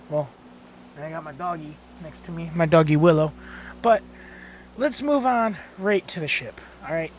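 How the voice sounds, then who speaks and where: A young man talks in a somewhat high, hoarse, gravelly voice, tired from just waking up. He narrates loosely from his bedroom, cursing freely and stopping to correct his own words. A faint noise sits behind him. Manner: casual and swears a lot. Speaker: channel host touring a build. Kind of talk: casual gameplay tour narration. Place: bedroom at home.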